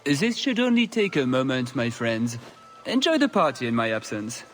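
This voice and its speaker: An actor playing a courtly noble lord in a fantasy video game, pompous, formal and smugly gracious. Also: male voice, mid-range voice, big pitch swings, normal speed, soft background music, lightly acted. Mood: amused, haughty.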